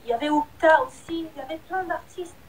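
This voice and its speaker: A woman's voice: high-pitched, quick pace, rough recording. A woman, a singer answering interview questions in a candid, hesitant way that often trails off. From Republic of the Congo. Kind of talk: casual interview.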